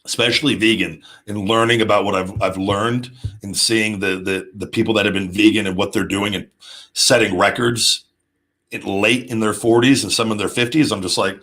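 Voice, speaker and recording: Male host, 30s, lowish pitch, casual unscripted monologue, halting and rambling delivery. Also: fairly even intonation, fairly bright tone. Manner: rambling, halting, with repeated restarts. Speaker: show host, former pro wrestler. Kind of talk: casual off-the-cuff monologue answering viewers' questions.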